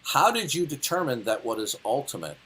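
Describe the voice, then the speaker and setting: A man's voice: medium pitch, very animated, fairly quick, fairly bright tone, a little hiss or hum. A man in his late fifties arguing in a heated debate, lecturing and interrupting in a condescending way. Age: 50s. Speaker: debate caller.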